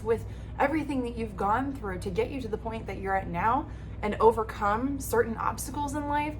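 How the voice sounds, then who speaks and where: Upbeat woman creator losing her voice a bit, chatty pep talk, steady noise behind her. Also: mid-range voice. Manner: upbeat, encouraging, talks straight to camera. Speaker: YouTube creator. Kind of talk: casual advice vlog.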